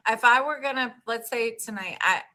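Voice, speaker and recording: A woman in her forties, a podcast guest, talking in an animated, chatty way with lots of "like.". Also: a little high, moderate pace. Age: 40s. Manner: animated, talkative, frequent "like". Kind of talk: casual interview chat.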